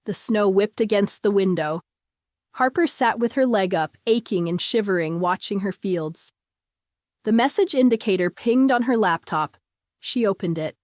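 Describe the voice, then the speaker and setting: A woman narrates scripted fiction in the manner of a dramatic audiobook. Her voice sits at a middle pitch. The sound is close and dry, with no room around it. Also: expressive, moderate pace, darkish tone, no room sound.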